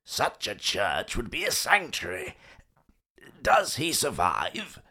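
A man voicing a haughty stone gargoyle in an audio play, with a rough, raspy voice and pompous theatrical delivery. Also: medium pitch, wildly swooping pitch, slow, sharp tone, full character voice. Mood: wary. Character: haughty stone gargoyle, self-styled king among drainpipes.

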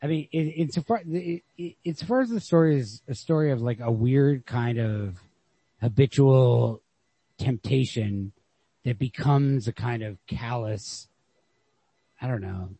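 A man's voice: mid-range voice, unhurried, dark tone, breathy edge, no echo. A man in a casual literary chat as a podcast host, musing and thinking aloud with frequent swearing. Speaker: podcast host discussing a short story. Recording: lo-fi podcast recording.

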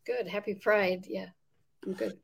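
A woman's voice: mid-range voice, fairly even intonation, unhurried, vocal fry, husky. A woman from Jordan, in her forties, guest on a remote interview, earnestly explaining her work with plenty of fillers. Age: 40s. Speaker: guest, nonprofit founder. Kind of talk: casual interview chat.

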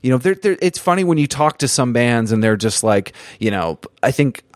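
A man's voice: medium pitch, lively intonation, normal speed, no echo, clean studio sound. A man hosting a podcast interview, talking casually and building long, thoughtful points. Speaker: podcast host.

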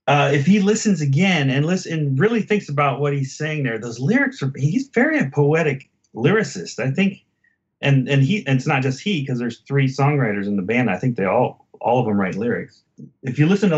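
A man's voice: medium pitch, lo-fi sound. A man in a casual album discussion as a podcast host, talking in a reflective, hesitant, conversational way.

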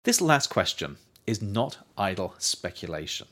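Male nonfiction narrator, fairly deep, highly varied pitch, measured explanatory delivery. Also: sing-song, bright, forceful tone. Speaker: author reading own book as narrator.

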